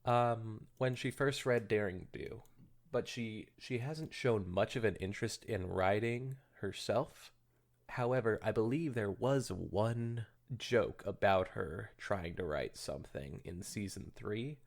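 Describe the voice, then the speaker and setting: A man's voice: lowish voice, measured pace, vocal fry. A man co-hosting a podcast, chatting informally and interjecting with asides and commentary. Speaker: podcast co-host. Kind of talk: casual chat and commentary.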